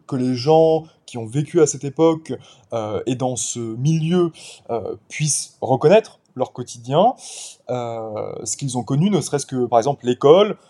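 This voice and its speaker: A man in his twenties from the Paris suburbs, a novelist talking through his book in a casual interview, thoughtful and pausing often as he explains. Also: mid-range voice, mellow. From Paris suburbs, France. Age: 20s. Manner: thoughtful, explains at length with frequent pauses. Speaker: novelist guest.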